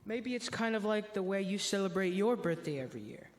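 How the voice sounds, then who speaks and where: A male playing a high-voiced goofy, eager boy. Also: lively intonation, brisk. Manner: casual, eager. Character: easygoing, food-loving goofy boy who wanders off topic. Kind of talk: youth church reader's theater. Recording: echoey hall with long reverb.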